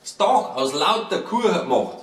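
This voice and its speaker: A man's voice: a little high, sing-song, normal speed, a bit bright. A man with a Bavarian accent reading his own comic verse tales aloud, playfully, with wry asides. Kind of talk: comic verse storytelling in dialect, read aloud live. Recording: roomy live recording, distant mic, faint noise.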